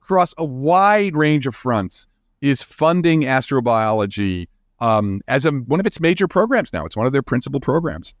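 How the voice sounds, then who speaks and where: Animated man explaining as an expert guest. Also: medium pitch, normal speed, no room sound, studio quality. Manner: animated, informal, emphatic, often checking with "right?". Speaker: guest expert, astrophysicist and author. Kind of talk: casual explanatory interview talk.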